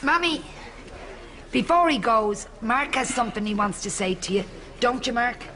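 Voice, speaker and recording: Irish woman, sitcom role, earnest, flustered, raised pitch, very lively intonation, noisy studio. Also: moderate pace. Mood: insistent. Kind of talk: sitcom acting.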